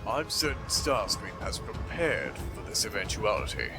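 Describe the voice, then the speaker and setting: Man playing a florid 19th-century gentleman. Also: lowish voice, very animated, moderate pace. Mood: grave, theatrical. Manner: formal, florid period diction. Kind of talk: dramatic comic dub acting. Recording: loud music behind the voice.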